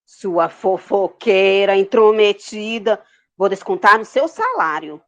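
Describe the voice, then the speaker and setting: A melodramatic queen played by a woman in a comic audio drama, with a mid-pitched voice. She is haughty and imperious, a scolding mother who makes every line theatrical. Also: big pitch swings, slow, fairly bright tone, muffled recording. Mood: angry. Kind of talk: comedic audio drama acting.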